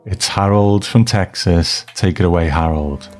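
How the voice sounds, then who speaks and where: A man's voice: deep voice, a little slow, darkish tone, faint music behind. A man hosting a podcast, talking casually and reflectively in a rambling, self-deprecating way. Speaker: podcast host. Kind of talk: casual reflective podcast talk, reading listener mail.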